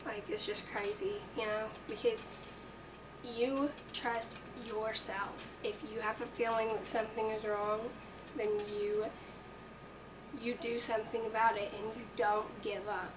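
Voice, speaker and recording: A teenage girl with a raspy voice chatting earnestly to camera in her bedroom, with lots of ums. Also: a little high, little pitch movement, unhurried. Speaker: vlogger. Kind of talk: casual chat to camera. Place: small bedroom indoors. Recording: distant webcam audio with noise.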